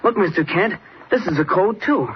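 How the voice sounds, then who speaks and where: High-voiced eager boy sidekick, old radio. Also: lively intonation, moderate pace. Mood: excited. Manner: boyish, exclamatory. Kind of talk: 1940s radio serial acting. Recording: dull old radio recording, faint noise.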